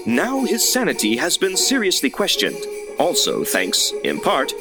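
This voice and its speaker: A man playing a formal, measured, drily ironic narrator in old-time radio theater style. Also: fairly high pitch, wildly swooping pitch, heavily acted. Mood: formal, wry. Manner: measured, formal, dry irony. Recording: loud music under the voice.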